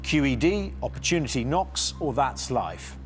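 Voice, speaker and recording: A man's voice: medium pitch, big pitch swings, normal speed, faint music behind. A man hosting a television quiz show, upbeat and teasing, reading questions and introducing teams.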